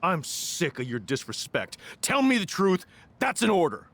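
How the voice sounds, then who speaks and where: A man's voice: sits high, wildly swooping pitch, moderate pace, a bit bright, heavily acted. An actor playing a stern, suspicious middle-aged ex-soldier stepfather in a video game, accusatory and authoritarian. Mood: suspicious, angry.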